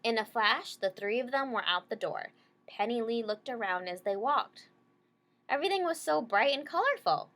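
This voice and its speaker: A woman's voice: fairly high pitch, lively intonation, normal speed, a bit bright. Woman reading a storybook to children. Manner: checks in with listeners using short tag questions. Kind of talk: read-aloud with casual explanations to children.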